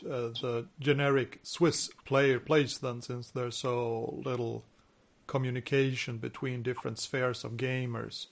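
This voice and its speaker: A man hosting an interview, asking curious, hesitant questions. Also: medium pitch. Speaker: podcast host, interviewer. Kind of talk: casual interview.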